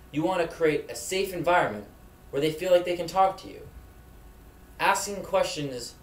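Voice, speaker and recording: A young man hosting a mock safety video, giving solemn, preachy advice in an earnest scripted narration. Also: a little high. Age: young adult. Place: dorm room indoors. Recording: echoey room.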